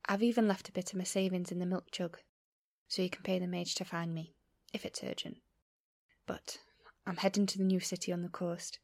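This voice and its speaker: An apologetic young runaway, played by an actress, voices a farewell letter in a fantasy audio drama. The voice is medium in pitch, soft and breathy, sometimes near a whisper. It sounds dry and intimate, tender yet earnest. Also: female voice, no room sound, close to an everyday voice.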